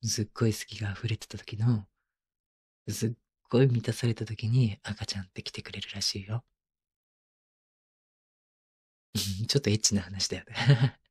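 Breathy, tender young husband, acted by a man. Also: fairly low pitch, steady tone, a little fast. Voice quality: soft, breathy. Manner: murmuring, playful, coaxing. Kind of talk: intimate ASMR roleplay voice drama. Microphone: close microphone, binaural.

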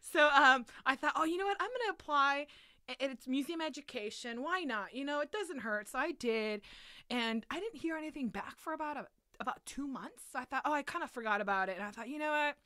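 A woman in her thirties chatting casually as an interview guest, retelling things in an animated way with quoted asides. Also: sits high, brisk, no room sound, clean studio sound. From South Korea and Los Angeles area. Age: 30s. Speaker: podcast guest, museum educator.

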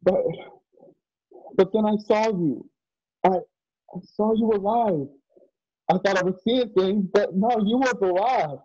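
An actor plays a naive, loyal young cowboy in a radio drama. His voice is fairly high and husky, speaking slowly and haltingly with earnest feeling, over slightly dull call audio. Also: mellow, exaggerated voice. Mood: earnest, emotional. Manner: hesitant, stammering, earnest. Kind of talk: radio play acting. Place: home, on a group video call.

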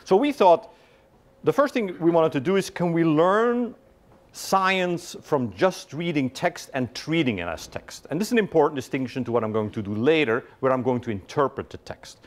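A middle-aged man giving a university lecture in a large hall, lively and conversational, often checking in with "right?" and quizzing his audience. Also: medium pitch, normal speed. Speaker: guest lecturer.

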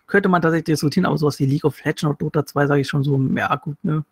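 A man's voice: fairly high pitch, steady tone, rapid-fire, slightly rough, no room sound, studio quality. A man explaining his points in a casual discussion of gaming news. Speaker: podcast panelist.

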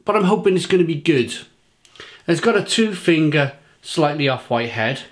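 A man's voice: on the high side, animated, normal speed. A man in his forties from England, reviewing a beer to camera in his home kitchen, chatty and often repeating words as he searches for them.

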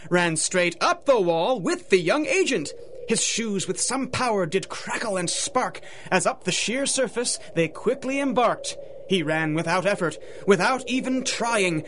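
A man's voice: sits high, noisy background. A man giving theatrical, dramatic narration in rhyming storybook verse for a radio drama.

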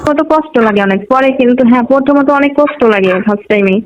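A woman's voice: sits high, fast talker. A woman with a Bangladeshi accent chats casually and intimately on a phone call.

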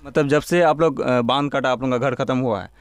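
A man's voice: mid-range voice, fast talker. A man, reporting for a documentary from a village into a handheld microphone. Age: 20s. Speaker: field reporter. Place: outdoors and in open huts in a village. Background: music.

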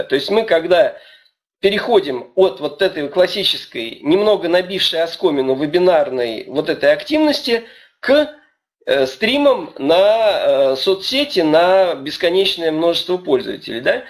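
A man moderating an online webinar speaks through a video call in a fairly high voice. He talks haltingly, filling pauses with "da" and restarting words as he sums things up. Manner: hesitant, full of "da" and restarts.

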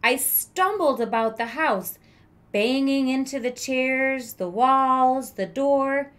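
Woman, thirties, storybook read-aloud for kids; higher-pitched, unhurried and dramatic, addressing young listeners directly. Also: a bit bright. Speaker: teacher reading aloud to children. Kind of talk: expressive children's storybook read-aloud with asides. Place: small room with bookshelf, indoors.

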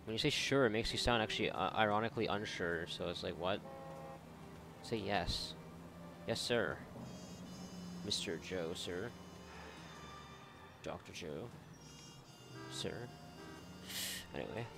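A man's voice: low voice, a little slow, vocal fry, pauses often, over music. A man giving casual, rambling and sarcastic let's play commentary, swearing freely as he goes. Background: video game ambience.

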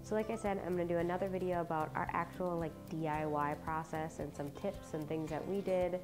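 A woman's voice: fairly low pitch, fairly even intonation, fairly quick, music in the background. A young woman with a congested, slightly sick voice, chatting bubbly and casually through a tour of her home, often laughing and saying "like" and "um", recorded indoors on a lapel mic. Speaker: home vlogger. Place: indoors, home basement rooms.

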